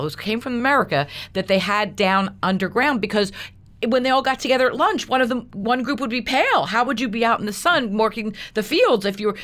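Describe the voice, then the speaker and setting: A woman's voice: mid-range voice, fairly bright tone. A woman being interviewed as a guest, telling a historical story in a conversational, explanatory way with frequent asides.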